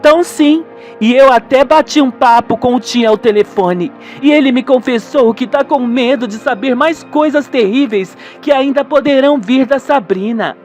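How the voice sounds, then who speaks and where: Radio soap daughter role male performer: high voice, sensible, worried, insistent. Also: normal speed, darkish tone, faint music behind.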